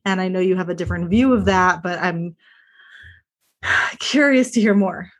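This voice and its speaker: A woman hosts a podcast and puts attentive, soft-spoken questions to her guest. Her voice sits a touch lower than most women's. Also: normal speed. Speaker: podcast host. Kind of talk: interviewing a guest.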